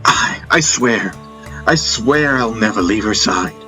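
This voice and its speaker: A man's voice: on the low side, lively intonation, slow pace, bright, forceful tone, faint music behind, pitched above the performer's own voice, heavily acted. A man playing a devoted but unsure young warrior disciple in an audiobook, earnest and hesitant. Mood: reluctant devotion.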